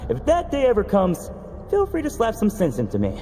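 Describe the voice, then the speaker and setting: An actor playing a stubborn, desperate young knight who speaks earnestly and emotionally in a game cutscene. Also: male voice, high voice, huge pitch swings, brisk, over music. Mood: confident.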